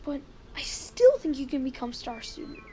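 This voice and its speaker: A woman playing an outgoing, warm-hearted preteen boy with casual kid talk in a children's audio drama. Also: high voice, very animated, normal speed, a little hiss or hum. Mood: hurt, wary.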